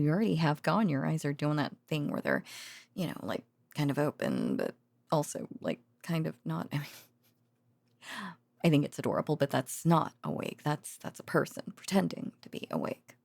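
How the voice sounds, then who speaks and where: Woman acting a weary girlfriend, low creaky voice. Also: very animated, a little mellow, dry recording, heavily acted. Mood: tender, teasing. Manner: halting, rambling, sighing, murmured endearments. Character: tired, affectionate girlfriend, an overworked researcher venting and doting. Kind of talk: intimate audio roleplay, addressing a sleepy partner. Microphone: close, dry studio microphone.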